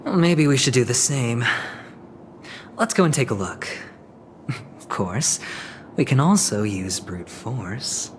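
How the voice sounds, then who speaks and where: Unhurried, teasing gambler type. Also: male voice, mid-range voice, lively intonation, slight background noise. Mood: wry, casual. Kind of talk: video game voice acting.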